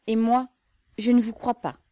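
A woman's voice: fairly high pitch, flat delivery, normal speed, dark tone, no room sound, voice pushed up, lightly acted. A woman reading aloud, voicing a devout older mother who speaks earnestly and a little reproachfully.

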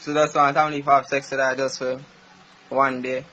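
A man's voice: medium pitch, little pitch movement, fairly quick, sharp tone, slight background noise. A Jamaican man speaking casually as a prank caller, with the sound of a phone call. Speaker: prank caller, show host.

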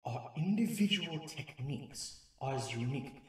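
A man playing a wise, solemn old mutant rat master, narrating gravely and poetically in a comic dub. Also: fairly high pitch, lively intonation, moderate pace, lightly acted.

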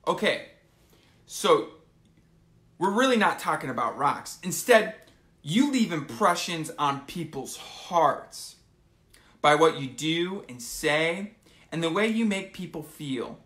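A man in his twenties reads aloud to children in a casual, unhurried way. His voice is bright and swings up and down expressively as he talks to the kids. It sounds like a home recording made in a small room. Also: on the high side. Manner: animated, informal, addressing children. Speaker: host of children's storytime. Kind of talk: casual live storytime, reading aloud to kids. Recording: roomy home phone recording.

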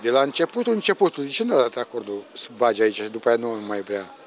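A man's voice: mid-range voice, very animated. A man complaining in a street interview, questioning a decision as a member of the public. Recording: street interview with crowd noise.

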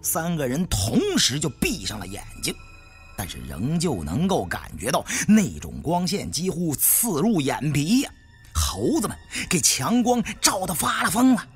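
Animated male audiobook narrator over music. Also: on the high side, breathy edge. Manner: animated, expressive storytelling. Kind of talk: dramatic scripted narration.

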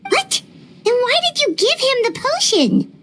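A woman voicing a tiny, excitable, indignant childlike fairy companion with a squeaky voice in a video game. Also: unhurried, exaggerated voice. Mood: baffled. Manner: refers to herself in third person, exclamatory. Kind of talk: animated game dialogue.

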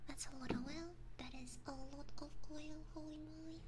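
A young woman whispering gently and soothingly into a close binaural microphone, chatting casually with viewers as an ASMR streamer. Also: high voice, steady tone, moderate pace, very noisy. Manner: soothing, gentle personal attention. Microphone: close binaural ear microphone.

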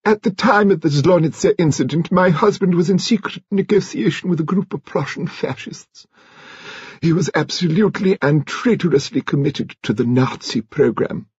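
A man voicing an imperious, frank, stout elderly English noblewoman, speaking in a grand, emphatic, deliberate way for an audiobook. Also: on the high side, a little mellow, breathy edge, no echo, muffled recording, voice pushed up. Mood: frank, bitter.

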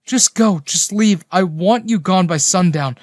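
A man's voice: fairly high pitch, normal speed, no echo, studio quality, natural voice. Man acting an emphatic, betrayed young army nurse. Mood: furious, anguished. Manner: forceful, emphatic, accusing.

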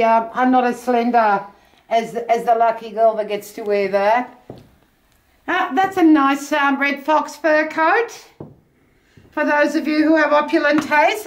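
A middle-aged Australian woman giving a chatty, enthusiastic walk-through of auction items as the presenter. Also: high voice. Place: small indoor rooms of an auction house. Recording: very echoey bare rooms.

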